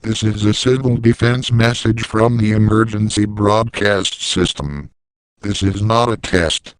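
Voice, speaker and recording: A man playing a calm, stern 1980s civil defense announcer, reading a formal emergency message in a measured, deadpan, official manner. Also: on the low side, slight background noise, lightly acted, rough recording. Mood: grave, urgent.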